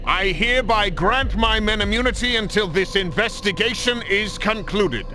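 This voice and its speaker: An actor playing a pompous, menacing Templar commander in a video game, scornful and theatrical with formal oratory. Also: male voice, high voice, normal speed, background noise, lightly acted. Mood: outraged. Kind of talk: dramatic video game cutscene acting.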